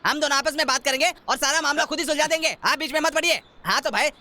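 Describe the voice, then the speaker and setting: A man acts out an argumentative villager in a comedy sketch. His voice is very high and piercingly bright, and he talks fast. He is indignant and hectoring, repeating his complaints. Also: a little hiss or hum, full character voice. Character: belligerent, argumentative village man riding a bus. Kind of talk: broad film-style comedy sketch acting.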